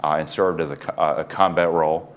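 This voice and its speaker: Man in his 40s, really low voice, calm explainer type, chuckles now and then. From United States East Coast. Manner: measured and explanatory, light chuckles. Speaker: neurosurgeon guest. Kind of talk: casual interview talk.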